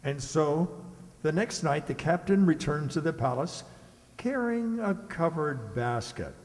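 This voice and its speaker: A man's voice: fairly high pitch, animated, measured pace, a little mellow. A man narrating a scripted children's radio story as a theatrical storyteller. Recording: reverberant hall sound.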